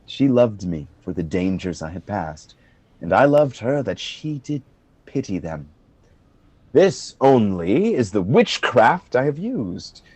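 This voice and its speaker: A man playing a proud, eloquent general in love in Shakespearean verse, telling his story in a measured, dramatic way. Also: on the low side, big pitch swings, a little mellow, slightly rough, no echo, full character voice. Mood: tender, reflective. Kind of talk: Shakespearean verse acting in a radio play.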